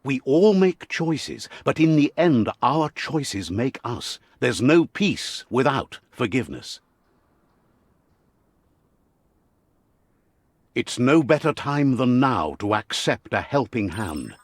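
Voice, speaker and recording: A man playing a wise, whimsical storyteller, narrating a fable video game in a husky, theatrical, philosophical manner that speaks straight to the listener. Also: mid-range voice, sing-song, measured pace, few pauses, no echo. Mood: solemn, wise.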